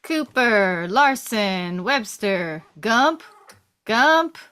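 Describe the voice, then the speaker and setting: A woman's voice: on the high side, expressive, extremely slow, sharp tone, heavily acted. A woman playing a brash, bossy wounded American soldier man in a table read, with a blunt, commanding manner. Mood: calling out.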